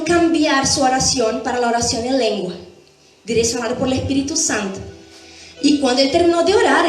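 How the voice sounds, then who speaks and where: A woman giving church Bible teaching, explaining step by step and checking in with short tag questions. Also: sits high. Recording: very echoey room, faint music behind.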